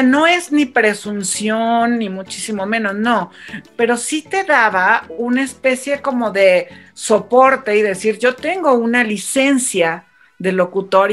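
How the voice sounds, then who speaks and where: A Mexican woman radio announcer reminisces in a lively, chatty way, her voice sitting a little high. Faint music runs underneath. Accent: Mexican Spanish. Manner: lively, recalls her career. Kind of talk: conversational reminiscence.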